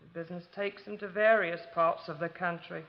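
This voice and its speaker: A woman acting a stiff, downtrodden housemaid wife in a radio drama, quiet and reserved. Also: on the low side, fairly even intonation, fairly quick, close to an everyday voice. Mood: guarded, subdued. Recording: muffled old radio recording.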